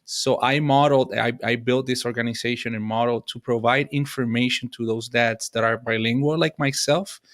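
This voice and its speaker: A man's voice: medium pitch, fairly even intonation, moderate pace, few pauses, fairly bright tone, no echo, studio quality. A man from Rico, a Spanish speaker, answering earnestly on a discussion panel with many filler sounds. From Puerto Rico. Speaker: panelist, fatherhood blogger.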